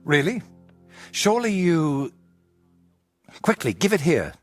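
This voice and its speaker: An actor playing a polite but fussy, secretive painter in a medieval game, speaking earnestly and with careful wording. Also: male voice, on the high side, very animated, takes it slowly, soft background music, full character voice. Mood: eager. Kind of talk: dramatic game dialogue acting.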